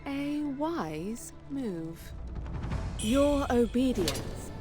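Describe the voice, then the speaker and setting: Very slow, haughty noblewoman. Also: on the high side, very animated, music in the background, heavily acted. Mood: smug, dismissive. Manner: imperious, drawn-out, condescending. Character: haughty scheming noblewoman, cool and commanding. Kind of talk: video game cutscene acting.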